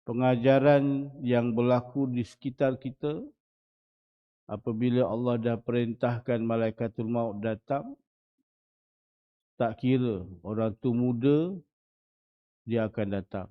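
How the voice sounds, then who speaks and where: A man's voice: mid-range voice, fairly even intonation, a little slow, long pauses. A man in his forties with a Malaysian Malay accent, a religious teacher giving a dawn lecture in a small prayer hall, often putting rhetorical questions to the audience. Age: 40s. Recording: muffled lo-fi recording.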